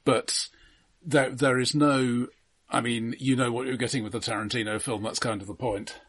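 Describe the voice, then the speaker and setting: Husky man in casual podcast chat. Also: mid-range voice, fairly even intonation, a bit bright, no echo. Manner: halting, repeats opening words. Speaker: podcast co-host. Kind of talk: casual film discussion.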